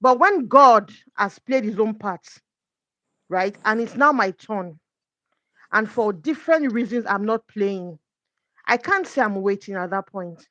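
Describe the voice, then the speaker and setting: A woman's voice: medium pitch, lively intonation, unhurried, fairly bright tone, no echo. Earnest woman coach with a dull, dry sound. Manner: earnest, explains with examples. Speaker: guest coach. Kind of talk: conversational faith talk.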